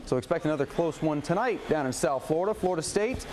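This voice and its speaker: A man working as a TV sports anchor, calling highlights in a punchy, colloquial, exclamatory way. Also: lively intonation, quick pace, darkish tone, a little hiss or hum, rough recording. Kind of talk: highlights commentary and scripted sportscast. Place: TV studio, voice-over to highlights.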